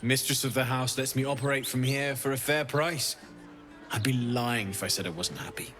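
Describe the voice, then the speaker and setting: A man acts a blacksmith in a game drama. He is earnest, modest and apologetic, with a medium pitch over faint music. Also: normal speed, a bit bright, lightly acted. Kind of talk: video game dramatic acting.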